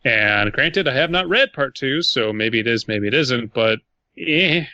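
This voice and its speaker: A man with a bright, forward voice chats as a podcast co-host, casually weighing up a story. The recording is dry and close, with a slightly muffled top end. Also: medium pitch, lively intonation, unhurried, no room sound. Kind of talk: casual critical discussion.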